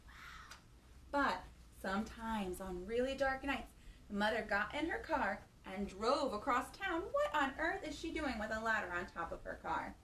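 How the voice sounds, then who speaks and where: Woman, high-pitched, children's storytime host, animated picture-book reading, playful questions to little listeners, faint background noise. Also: recorded from a distance. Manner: playful, asks young listeners questions, exclaims.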